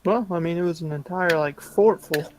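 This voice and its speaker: Male tabletop player, fairly high pitch, relaxed conversational delivery over a call, light music behind. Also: moderate pace. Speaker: player in a tabletop roleplaying game. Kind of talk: casual chat. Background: background music.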